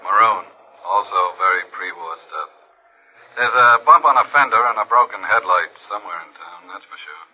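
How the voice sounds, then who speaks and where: An actor playing a police lab technician in an old radio drama, reporting in a dry, businesslike, laconic way. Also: male voice, lowish voice, normal speed, husky. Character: matter-of-fact male police crime lab technician. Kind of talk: 1940s-50s radio drama acting. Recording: thin, phone-like old radio recording.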